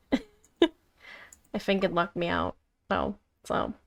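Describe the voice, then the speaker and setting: A woman's voice: on the low side, wildly swooping pitch, very slow. A woman live-streaming a game, chatting casually and playfully in a rambling way to viewers and herself. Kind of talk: casual livestream chat while playing a game. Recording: background music behind voice. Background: game music.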